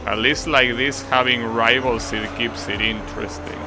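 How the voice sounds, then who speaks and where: A man with an Argentine accent, casually commenting on his racing game to a live-stream chat in a relaxed, musing way. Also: medium pitch, moderate pace, over music.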